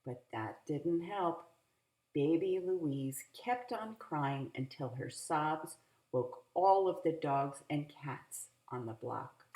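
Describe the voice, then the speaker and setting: A woman reading a picture book aloud to young children in an animated, teacherly way, adding little asides. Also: sits low. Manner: animated, teacherly, drawn-out phrasing. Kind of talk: expressive read-aloud with asides to young listeners. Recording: roomy home recording with echo.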